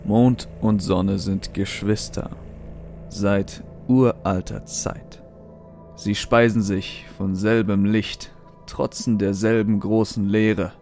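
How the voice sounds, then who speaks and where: Man acting a low-voiced, grandiose fanatical scientist. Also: fairly even intonation, slow pace, darkish tone, soft background music. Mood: solemn. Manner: solemn, grandiose, preaching. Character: fanatical male scientist preaching a new cosmic order. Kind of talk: horror audio drama acting.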